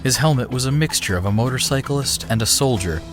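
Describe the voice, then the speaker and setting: A man narrating an action story in a steady, dramatic storytelling manner. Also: medium pitch, fairly quick. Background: music.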